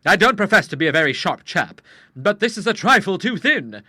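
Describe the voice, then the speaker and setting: A man's voice: sits high, very animated, a little fast, sharp tone, no room sound, clean studio sound, pitched above the performer's own voice, heavily acted. A man voicing an earnest, plain-spoken young man who is suspicious of rogues. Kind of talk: audiobook character voice.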